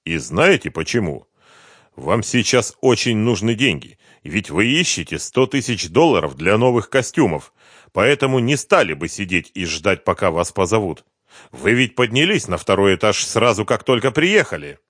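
A man voicing a calm, commanding detective who questions a suspect in an audiobook, level and firm. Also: on the low side, normal speed, dry recording, clean studio sound. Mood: calm, probing. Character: calm, relentless male detective interrogating a suspect. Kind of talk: audiobook detective novel dialogue.

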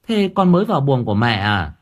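A man's voice: mid-range voice, sing-song, quick pace, dull audio, pitched above the performer's own voice. A man voicing a worried older mother-in-law who scolds and coaxes. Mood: alarmed. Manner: scolding, fussing, coaxing.

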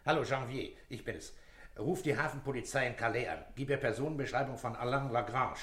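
A man playing a calm, probing police inspector in a radio drama, questioning in a terse, businesslike way. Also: medium pitch, brisk, natural voice. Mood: commanding. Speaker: actor in a detective radio play. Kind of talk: German radio drama acting.

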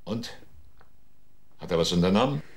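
An actor in a radio drama playing a hard-nosed investigator who presses witnesses in a cool, clipped, insistent way. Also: male voice, sits low, animated, moderate pace. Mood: insistent, cold.

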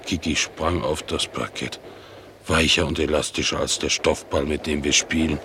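A man playing a naive, excitable bulldog in a radio play, telling his tale expressively and mimicking the people he quotes. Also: moderate pace, full character voice. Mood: hushed, awed. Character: excitable, naive male bulldog, chattering about his household. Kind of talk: German radio play acting. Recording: clearly noisy, train rumble behind. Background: vehicle rumble.

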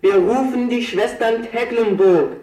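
An earnest boy in a radio play. His very high voice calls out announcements over an echoing, distant loudspeaker. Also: measured pace. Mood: urgent, earnest. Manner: declamatory announcing. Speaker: actor. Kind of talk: German radio drama acting. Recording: echoey loudspeaker, distant from mic.

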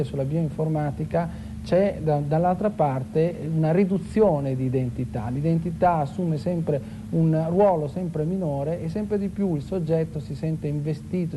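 Male expert, articulate explanatory interview delivery, slightly high voice over clearly noisy ambience. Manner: articulate, lecturing. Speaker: expert commentator on biotechnology.

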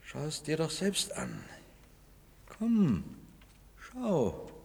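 An actor in a German radio play, playing a restless, anguished man who longs to break free but is afraid, husky and often sinking to a whisper. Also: a little high, wildly swooping pitch, darkish tone, exaggerated voice. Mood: coaxing, tense. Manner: intense, halting, swinging between defiance and dread.

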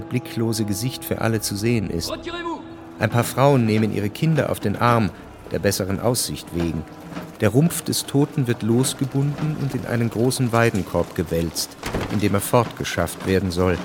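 A man giving measured, detached literary narration for a radio drama. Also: on the low side, natural voice.

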